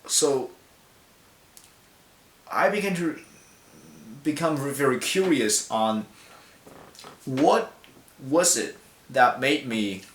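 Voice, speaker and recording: A young man speaks in a mid-pitched voice, thinking aloud with plenty of ums and restarts. His words ring out in a roomy, echoing hall. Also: fairly bright tone. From China. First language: Mandarin. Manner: reflective, halting with fillers and self-corrections. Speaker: speaker giving a talk. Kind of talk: personal public talk. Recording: echoey hall.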